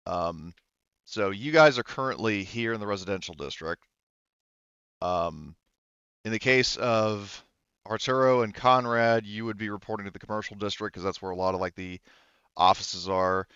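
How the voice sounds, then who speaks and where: A man's voice: on the low side, moderate pace, fairly bright tone, clear, resonant tone, dry recording, muffled recording. A man casually explaining a tabletop game as game master, in an easygoing, informal way.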